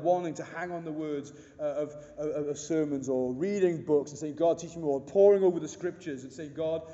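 Booming, mellow-voiced preacher man in echoing hall. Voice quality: big booming voice. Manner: earnest, emphatic preaching with rhetorical questions. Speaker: pastor. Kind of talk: sermon. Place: church hall. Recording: dull echoey hall recording.

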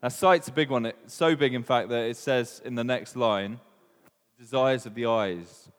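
A man's voice: medium pitch, normal speed. A man with a Welsh accent giving an informal sermon, talking casually and putting questions to the congregation. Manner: chatty, asks the room questions, many ums. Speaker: preacher. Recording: long reverb of a hall.

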